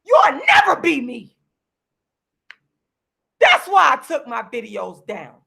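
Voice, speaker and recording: A woman in her forties, losing her voice, delivering a heated, repetitive, preachy rant straight to the camera while she sits signing books, sometimes breaking into shouts. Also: sits high, huge pitch swings, slow, gravelly. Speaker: vlogger and activist. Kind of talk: impassioned direct-to-camera rant. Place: seated at home signing books.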